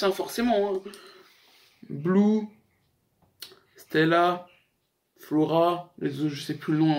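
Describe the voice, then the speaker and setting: A young man with a slightly high voice chats casually as a YouTube host running through a list. His talk is slangy and joking, and he rambles as he goes. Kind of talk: casual chat to camera, countdown list.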